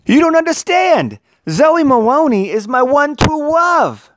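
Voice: high-pitched, very animated, a little slow, noisy background, voice pushed up. A storyteller voicing an earnest, plaintive, lovesick young boy in a children's storybook.

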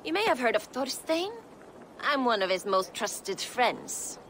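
A fairly high, unhurried female voice as a sly, flirtatious rogue. She drawls and teases, with faint noise behind. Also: sing-song, fairly bright tone. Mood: teasing, seductive. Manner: teasing, purring, drawling. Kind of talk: dramatic video game cutscene acting.

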